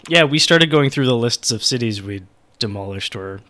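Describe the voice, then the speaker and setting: A man running a tabletop roleplaying podcast, his voice sitting at an ordinary middle pitch. He talks in a loose, rambling way, cracking small jokes as he sets things up. It comes through an online voice call. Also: expressive, moderate pace, sharp tone. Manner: rambling, jokey. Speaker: game master. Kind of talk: podcast tabletop game hosting.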